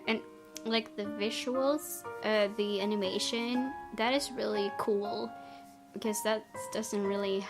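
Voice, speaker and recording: A woman's voice: a little high, creaky. A young woman giving let's-play commentary on a visual novel, reacting emotionally and talking to herself as she plays. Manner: emotional, reacts loudly and talks to herself while playing. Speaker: gaming YouTuber. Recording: music playing from the game behind.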